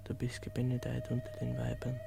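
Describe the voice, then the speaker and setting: An actor playing a devout worshipper in a radio play, reciting a prayer in a hushed, creaky voice. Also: male voice, medium pitch, monotone, a little fast. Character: devout worshipper praying the Hail Mary. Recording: music over the voice.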